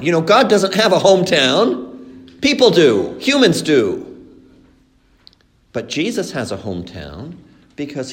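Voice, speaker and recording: A man from Colombia raised in Mexico preaching an expository sermon, explaining with earnest emphasis and slowed, stressed phrases. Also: mid-range voice. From born in Colombia, raised in Mexico.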